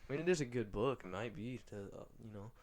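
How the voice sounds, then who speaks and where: A man's voice: fairly low pitch, animated, fast, darkish tone. A man hosting a podcast, congested and nasal, talking in a loose, slangy, rambling way. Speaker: podcast host. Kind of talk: casual chat.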